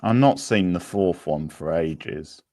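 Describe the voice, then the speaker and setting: Slow, deep-voiced man hosting a casual quiz. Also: warm tone, no echo, studio quality. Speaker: quiz host on a livestream. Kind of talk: casual live chat with viewers.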